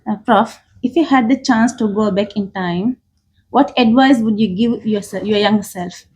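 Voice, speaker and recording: A woman, a PhD student, speaking politely and in a measured way. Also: medium pitch, darkish tone, a little hiss or hum, low-quality recording. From Sri Lanka.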